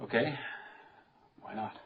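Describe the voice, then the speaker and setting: An actor playing a calm, watchful hotel detective with a dry, understated manner in a radio drama. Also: male voice, takes it slowly, close to an everyday voice. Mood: placating. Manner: understated, placating. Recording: dull sound with clear background noise.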